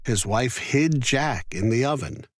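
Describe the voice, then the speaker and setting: A man narrating a scripted children's fairy tale in an expressive, careful storytelling manner. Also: mid-range voice, unhurried, dry recording, studio quality.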